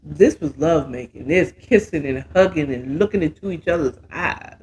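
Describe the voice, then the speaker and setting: An American man hosting a show, casually retelling a romance story with dramatic, sassy asides and drawn-out exclamations. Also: fairly high pitch, expressive, a little mellow, a little hiss or hum. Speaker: host of a story recap show.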